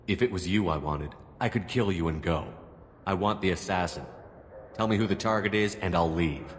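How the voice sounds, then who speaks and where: An actor playing a steady, commanding human agent in a video game, calm, firm and plain-spoken. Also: male voice, low voice, normal speed, in a large hall, a little hiss or hum, lightly acted.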